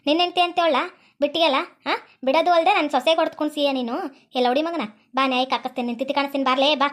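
A woman playing a shrill, scolding old village mother-in-law. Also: steady tone, rapid-fire, fairly bright tone. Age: older. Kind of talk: comedy skit acting.

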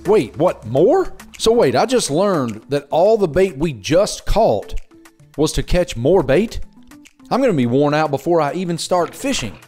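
A man's voice: a little high, sing-song, measured pace, a little mellow. A man narrating a fishing show in voice-over, playful and self-mocking, with music behind him. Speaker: host narrator.

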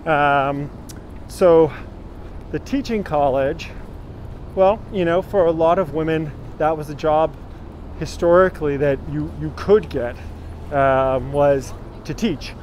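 Rambling guy in his 30s doing a live walking tour, phone at arm's length, slightly high voice, faint traffic behind. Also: slow pace, a little mellow. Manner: chatty, rambling, talks to viewers by name. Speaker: tour guide. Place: outdoors on a city street. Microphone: phone held at arm's length while walking. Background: street traffic.

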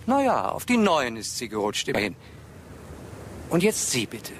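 An actor playing a courteous, attentive ship's officer, polite and precise, in a German radio drama. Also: male voice, medium pitch, huge pitch swings, moderate pace, fairly bright tone, slight background noise.

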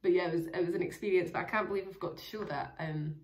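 A young woman talks casually to a camera in a small room at home. Her voice sits a little low for a woman and sounds hoarse and crackly. She rambles along with plenty of "like" and "um.". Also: fast talker. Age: young adult. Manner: chatty, rambling, many fillers. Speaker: travel vlogger.

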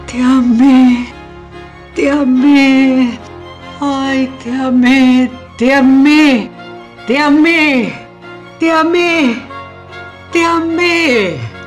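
A woman playing a proud, passionate old medieval queen in a radio drama, with an Argentine accent and theatrical, emphatic delivery. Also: on the high side. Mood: bitter, anguished. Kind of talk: melodramatic radio drama acting.

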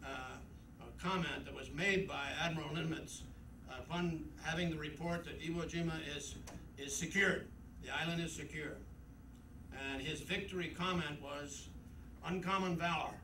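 An older man giving a rambling, emphatic war memoir talk at a club meeting, with frequent fillers. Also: a little high. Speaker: guest speaker. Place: club meeting room, indoors. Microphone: podium mic, camera from across room. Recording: roomy, distant camcorder audio with noise.

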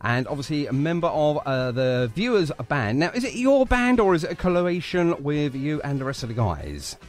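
A male radio host chats in an animated, slightly high voice that moves freely up and down. He is jokey and effusive, pausing to find his words, with faint music behind him. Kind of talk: chatty music radio interview.

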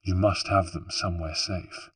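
Male reader plays a young assassin, cold and menacing, husky low hiss. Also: flat delivery, normal speed, fairly bright tone, no echo.

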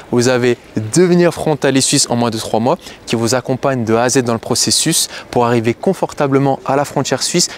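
A young man talks quickly in an upbeat, chatty way, asking passersby question after question. He is speaking into a handheld mic on a sunny city street, with steady street and traffic noise behind him. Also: mid-range voice. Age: young adult. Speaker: street interviewer and channel host. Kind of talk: casual street interview. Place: city street and lakeside promenade outdoors, sunny day.

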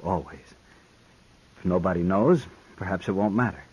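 A man playing a proper young Eastern gentleman in a 1950s radio drama, formal and pleading. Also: low voice, normal speed, breathy edge, muffled recording.

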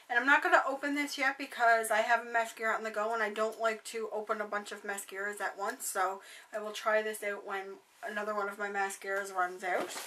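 Hoarse young woman rambling on her bedroom webcam, bright and a bit far off. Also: on the high side. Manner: chatty and rambling, many fillers. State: hoarse, voice going. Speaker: beauty vlogger. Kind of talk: casual haul chat to camera. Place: bedroom, indoors. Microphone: webcam at desk distance.

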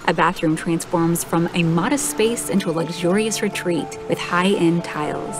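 A woman reading a scripted documentary voice-over in a smooth, measured, polished way. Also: fairly low pitch. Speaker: documentary narrator. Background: background music.

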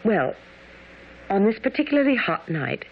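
A woman's voice: medium pitch, very animated, normal speed. Distressed genteel young woman, dull recording. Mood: grave, anxious. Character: distressed, genteel young English woman recounting family tragedy. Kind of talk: radio mystery drama acting. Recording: dull, noisy vintage radio recording.

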